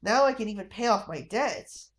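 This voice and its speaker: A woman's voice: medium pitch, lively intonation, moderate pace, natural voice. A woman voicing an embittered middle-aged man, a one-legged war veteran, who speaks in a weary, downhearted and plain way. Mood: relieved, grateful.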